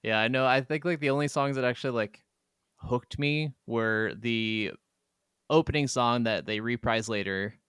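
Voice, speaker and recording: A man's voice: medium pitch, moderate pace, fairly bright tone, smooth, dry recording, studio quality. A man co-hosting a gaming podcast, chatting informally and telling anecdotes with casual swearing. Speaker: podcast co-host.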